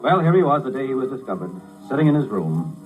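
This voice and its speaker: An actor playing a wry, tolerant struggling writer, narrating and talking in a dry conversational way in a 1950s radio drama. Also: male voice, medium pitch, very animated, fast talker, over loud music, close to an everyday voice, poor audio. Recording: old 1950s radio broadcast.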